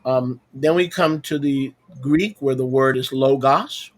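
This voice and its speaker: Man teaching scripture, mid-pitched voice. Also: a little slow. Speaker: host and religious teacher. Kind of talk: informal religious teaching on scripture. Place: online video call with screen sharing.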